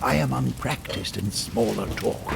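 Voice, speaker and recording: An actor playing an eccentric, hospitable old former doctor with a creaky voice and a halting, theatrical manner in a period audio drama. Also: male voice, mid-range voice, background noise. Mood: wistful, rambling. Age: older. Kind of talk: audio drama acting in 1930s period setting.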